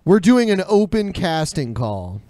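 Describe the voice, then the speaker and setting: A man's voice: high voice, big pitch swings, unhurried, mellow, no echo. A man co-hosting a podcast, chatting casually in an informal, rambling way. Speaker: podcast co-host.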